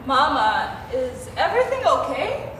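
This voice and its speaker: A woman's voice: high voice, sing-song, measured pace. A young woman playing a concerned, incredulous grown daughter in a stage play. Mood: worried, incredulous. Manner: questioning, incredulous. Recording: distant, reverberant, noisy theatre recording.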